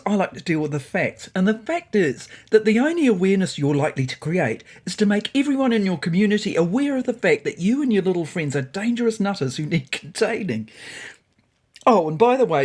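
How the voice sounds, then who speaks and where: A woman's voice: fairly low pitch, darkish tone. A woman voicing a pompous, opinionated male cat in a storybook, talking with mocking, derisive amusement.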